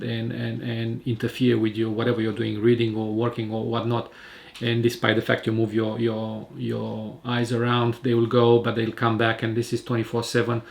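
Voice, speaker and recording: A man in a casual home vlog, talking in a calm, measured voice with a gravelly edge. He pauses often, restarts his sentences and fills gaps with "uh" and "um" as he works through his thoughts. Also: mid-range voice. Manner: calm, hesitant, many fillers and restarts. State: recovering from eye surgery. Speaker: patient sharing his surgery experience. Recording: home video recording.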